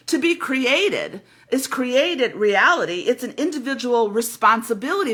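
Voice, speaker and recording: Woman spiritual teacher, loud, emphatic monologue, bright forceful tone. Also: fairly high pitch. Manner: emphatic, fervent, repeats words.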